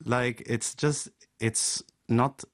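A man from Oman co-hosting a podcast, asking lively, joking, curious questions. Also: medium pitch, extremely slow. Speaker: podcast co-host. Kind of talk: casual podcast chat.

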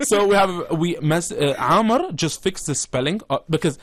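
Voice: mid-range voice, animated, moderate pace, a crowd in the background. A co-host with Swahili as a first language, reading listener messages aloud and teasing in a casual chat.

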